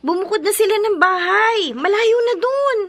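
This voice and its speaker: A chatty young Filipina friend in a radio drama. Her voice is high and lively, and she speaks with emphatic, gossipy concern. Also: normal speed. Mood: gossiping.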